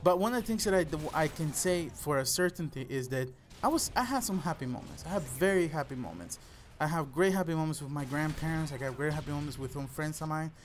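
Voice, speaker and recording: A young man with a Salvadoran Spanish accent speaks in a fairly high voice. He talks casually and earnestly, like a gaming host sharing personal stories with his viewers. A faint layer of noise sits behind his words. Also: lively intonation, fast talker. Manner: earnest, addresses listeners as "guys". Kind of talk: casual personal storytelling over gameplay commentary.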